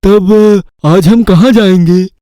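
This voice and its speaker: A man's voice: high-pitched, mellow, dry recording. A man voicing a gullible, simple-minded eighteen-year-old village lad, naive and earnest, in a Hindi cartoon story. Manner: naive, slow-witted, earnest. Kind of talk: Hindi cartoon fairy-tale dubbing.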